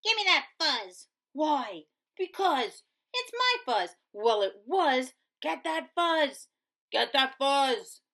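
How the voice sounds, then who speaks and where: A woman voicing excitable, squabbling little prairie dogs from a storybook in short exclamations. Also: high voice, slow, voice pushed up, heavily acted. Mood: quarrelsome.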